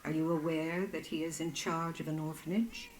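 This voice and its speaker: An actress playing a calculating head matron in a video game, speaking with cool, formal deliberation. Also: female voice, low voice, moderate pace, a little mellow, echoey room, a little hiss or hum, close to an everyday voice. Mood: courteous. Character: poised, calculating female head matron of a powerful order. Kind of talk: video game dramatic dialogue.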